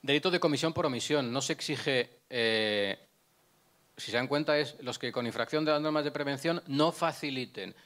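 A man's voice: mid-range voice, normal speed, fairly bright tone. A middle-aged man giving a conference lecture as a prosecutor, holding a microphone, explaining step by step and asking himself questions, with frequent restarts. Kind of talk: lecture with slides. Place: conference room, indoors. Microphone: handheld microphone.

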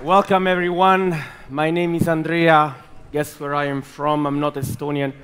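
A man's voice: on the high side, some chatter behind, slight background noise. A man in his thirties with an Italian accent, giving an emphatic talk as an investor hosting a conference stage. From Italy. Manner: slow, emphatic, animated bursts. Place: large conference hall with audience.

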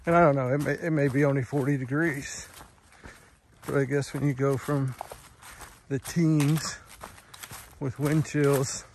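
A man's voice: mid-range voice, fairly even intonation, unhurried, pauses often, a little mellow, a little hiss or hum. A man in his fifties chatting casually while hiking a forest trail, folksy and easygoing, filming on a handheld phone. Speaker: thru-hiker vlogger.

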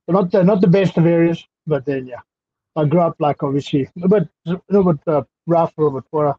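A man's voice: fairly high pitch, fast, slight background noise. A man in his thirties with a South African accent, talking casually as a guest over a headset on a video call from a small indoor room. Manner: relaxed, chatty, laughs easily. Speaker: guest fighter. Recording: muffled lo-fi call audio.

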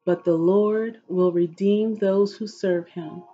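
Middle-aged female devotional reader, slow and solemn, husky tone, dull home webcam sound. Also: mid-range voice, steady tone. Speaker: host of an inspirational reading series. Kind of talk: devotional scripture reading aloud. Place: living room at home, indoors.

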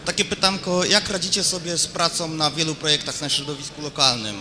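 A man's voice: on the high side, steady tone, fairly quick, heavy background noise. A man in the audience asks a question at a conference talk, hesitating with fillers. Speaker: audience member asking a question. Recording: distant voice in an echoey hall with audience.

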